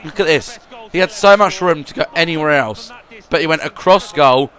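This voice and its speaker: A man narrates his football video game matches as a voice-over, rambling and repeating himself as he goes. His voice sits a little high for a man. It sounds bright and forceful. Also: normal speed. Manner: rambling, repeats words, swings between gloom and excitement.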